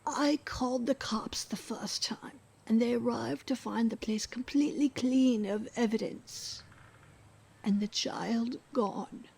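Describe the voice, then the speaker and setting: A woman playing a weary, embittered ex-wife living in seclusion, in a radio drama, speaking in a breathy hush with blunt resignation. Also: on the high side, slow pace, full character voice, rough recording. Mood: weary, bitter. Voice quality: breathy, hushed. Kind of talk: scripted radio drama dialogue.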